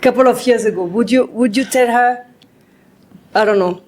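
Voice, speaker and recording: A woman with a French accent hosting an interview in casual English, encouraging and halting, with many fillers and restarts. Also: a little high, a little mellow. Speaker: show host.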